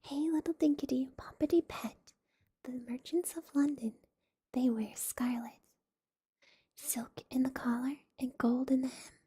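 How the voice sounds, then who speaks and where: A woman's voice: sits high, steady tone, unhurried, mellow, breathy edge, full character voice. A woman whispering as a chorus of cheeky little mice. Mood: gleeful. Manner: sing-song nursery rhyme chant. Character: tiny singing mice, gleeful and cheeky.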